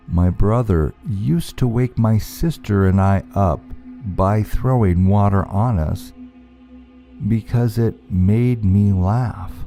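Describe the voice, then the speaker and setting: Slow, dark-voiced male horror narrator. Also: fairly low pitch. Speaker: narrator of scary story channel. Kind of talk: scripted horror story narration.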